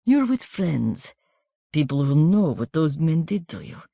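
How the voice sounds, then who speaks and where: A woman's voice: low voice, very animated, measured pace, gravelly, mellow, dry recording, studio quality, full character voice. A woman doing a coarse, menacing baroness. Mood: coaxing. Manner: coarse, guttural, commanding. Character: theatrical baroness, former actress, coarse and menacing.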